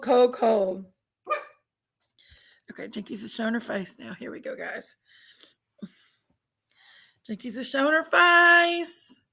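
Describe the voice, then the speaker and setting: A woman with a Southern American accent, raspy and congested from allergies, chatting casually on a livestream and reading out viewers' names. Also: a little high. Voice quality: raspy, gravelly. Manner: drawling, reads out chat names. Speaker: livestream host.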